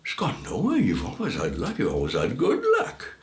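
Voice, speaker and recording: A man's voice: fairly low pitch, huge pitch swings, normal speed, roomy sound. A man voicing God in a folk tale as a blunt, chatty, matter-of-fact figure handing out instructions. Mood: blunt, instructive. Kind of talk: storybook folk tale character voice.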